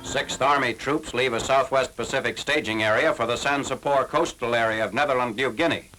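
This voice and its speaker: A man's voice: medium pitch, brisk, bright, forceful tone, soft background music, rough recording. An American man reading scripted military newsreel narration in a formal, clipped, matter-of-fact way on a 1940s film soundtrack.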